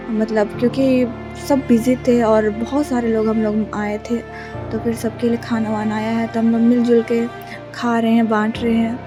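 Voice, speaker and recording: A young woman from Jharkhand, India, vlogging casually on a phone camera, her throat a little sore, speaking softly with many hesitations. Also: on the high side. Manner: soft, subdued, many hesitations. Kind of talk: casual vlog narration. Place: bus stand, then a relative's flat.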